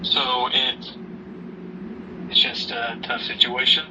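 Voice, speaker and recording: Distant, slow-spoken, concerned father played by a man. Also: mid-range voice, little pitch movement, muffled recording, background noise, natural voice. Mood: tentative. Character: caring, probing father, gently protective. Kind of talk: amateur audio drama acting, naturalistic.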